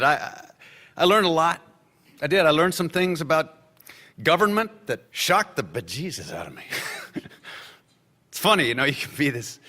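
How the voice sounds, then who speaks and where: An actor playing a slightly drunk lawyer who rambles wryly while offering a toast in a radio drama. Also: male voice, medium pitch, pushed and bright. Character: tipsy, candid man, a newspaper's lawyer. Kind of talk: radio play acting.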